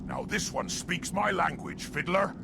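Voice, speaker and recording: A man voicing a blunt, mocking male guard of a stone people, over loud music. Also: sits high, measured pace, gravelly, noisy background. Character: surly male stone-folk guard Hedge.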